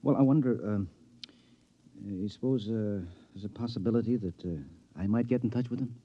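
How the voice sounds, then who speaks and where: An actor playing a skeptical, easily astonished married friend in an old radio drama, with a hesitant, incredulous manner. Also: male voice, on the low side, a little fast, no echo, close to an everyday voice. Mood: hesitant, curious. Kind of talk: mid-century radio drama acting. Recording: dull vintage radio recording.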